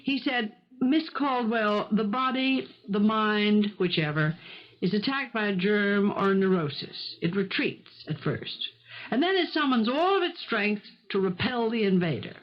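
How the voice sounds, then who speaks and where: An actress playing a practical, no-nonsense housekeeper in an old-time radio drama, speaking firmly and crisply, as if giving a lecture. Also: female voice, medium pitch, animated, a little slow, natural voice. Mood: lecturing. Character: brisk, bossy, no-nonsense housekeeper, steady and practical. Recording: muffled 1970s radio broadcast.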